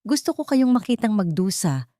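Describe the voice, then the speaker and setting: A woman's voice: medium pitch, big pitch swings, moderate pace, mellow, no echo, clean studio sound, pitched above the performer's own voice, lightly acted. A woman voicing a cold, vengeful, wealthy businesswoman in an audio drama, commanding and taunting. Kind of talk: dramatic audio story dialogue.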